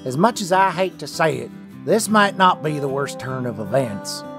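A practical, no-nonsense male pony sheriff , as a voice actor plays him. He speaks bluntly in folksy terms. His intonation is lively. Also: mid-range voice, moderate pace, music in the background, natural voice.

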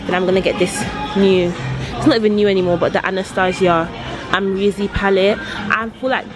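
A woman's voice: medium pitch, fairly even intonation, brisk, over music, rough recording. A woman in her twenties with a London accent, chatting casually and slangily to her vlog camera. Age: 20s. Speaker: vlogger.

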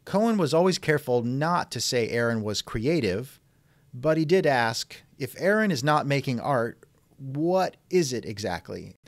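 A man's voice: medium pitch, very animated, measured pace, dry recording. A man hosting a scripted podcast interview with a dry, sardonic manner, close on the microphone.

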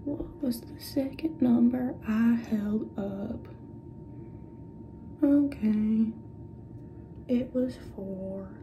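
A woman doing an ASMR roleplay as a clinical examiner, speaking softly, often in whispers, and giving short, playful instructions. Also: fairly high pitch, drawn-out words, pauses often. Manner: soft-spoken, often dropping into whispers. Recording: dull lo-fi audio with clear background noise.

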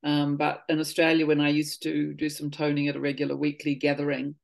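Guest, fifties woman, low voice, earnest, circling thoughts, soft trailing phrases on a video call. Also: monotone, brisk, vocal fry. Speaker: voice and healing workshop leader. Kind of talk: casual spiritual conversation. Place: home living room.

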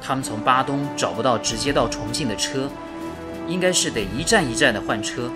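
An actor playing a sensible, fussing young married man in a radio drama, earnest and gently chiding. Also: mid-range voice, fairly quick, over music, lightly acted. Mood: reassuring. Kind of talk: Mandarin radio drama acting.

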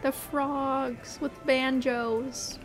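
A young woman chatting excitedly with friends while playing a game, often gasping and laughing. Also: sits high, very slow. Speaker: player. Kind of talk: casual gaming chat. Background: game music.

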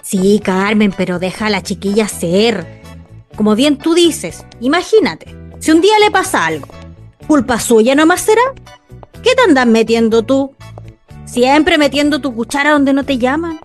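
A chatty Chilean shopkeeper in a radio play. Her voice sits fairly high, familiar and gossipy, quick to smooth things over. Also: lively intonation, soft background music. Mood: placating. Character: gossipy but placating neighborhood shopkeeper woman. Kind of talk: radio drama acting.

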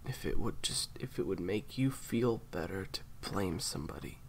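A man playing a grieving, guilt-ridden secret agent in an audio roleplay, choked up and halting, sometimes dropping toward a whisper. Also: sits low, expressive, creaky, slight background noise. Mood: subdued, sorrowful. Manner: halting, faltering, breaking into whispers. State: upset, choked up with grief. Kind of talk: intimate audio roleplay acting.

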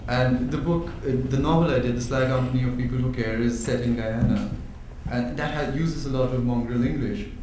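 A man's voice: medium pitch, a little fast, hardly any pauses. Indian-born man, panel author, eager and stop-start; roomy hall with light hiss. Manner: lively, restarting, enthusiastic. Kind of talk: panel discussion. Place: event hall. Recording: echoey hall with faint noise.

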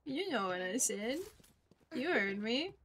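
A woman's voice: a little high, sing-song, takes it slowly, fairly bright tone, a little hiss or hum. Playful, teasing young woman gamer. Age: 20s. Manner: playful, teasing, swears freely. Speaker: streamer. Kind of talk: casual gaming chat with callouts.

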